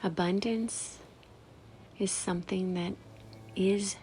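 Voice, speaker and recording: A woman leading a guided meditation, speaking softly and soothingly in short phrases with long pauses and breathy, whispery endings. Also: medium pitch, fairly even intonation, slight background noise. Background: background music.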